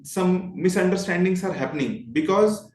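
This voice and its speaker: A man's voice: sits high, lively intonation, normal speed, darkish tone. A man with an Indian accent speaking earnestly as a guest voice trainer, emphatic and repeating phrases for stress. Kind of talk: earnest motivational talk in a discussion. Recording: roomy, echoing sound.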